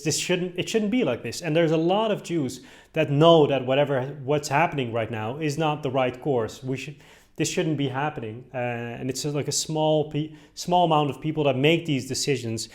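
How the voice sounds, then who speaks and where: Dutch-raised man, hesitant video commentary. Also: medium pitch. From Netherlands. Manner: hesitant, many fillers and restarts. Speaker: video host commenting on clips. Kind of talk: casual commentary.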